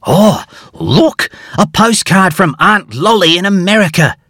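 A man voicing an excitable, cheerful young male character in a storybook, eager and full of exclamations. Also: high-pitched, a little slow, dry recording, studio quality, pitched above the performer's own voice, exaggerated voice. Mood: cheery.

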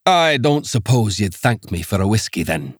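A man voicing a gruff, domineering Scottish magistrate who barks his words. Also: lowish voice, sing-song, moderate pace, no room sound, studio quality. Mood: sly. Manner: barking, domineering. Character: gruff blustering older male Scottish magistrate.

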